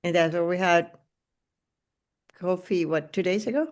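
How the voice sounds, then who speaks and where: A woman's voice: lowish voice, moderate pace. Gracious woman podcast host. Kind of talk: podcast intro and interviewing.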